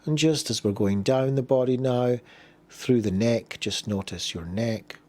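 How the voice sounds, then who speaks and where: A man leading a guided body scan meditation, giving gentle, unhurried instructions with pauses between phrases. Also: mid-range voice.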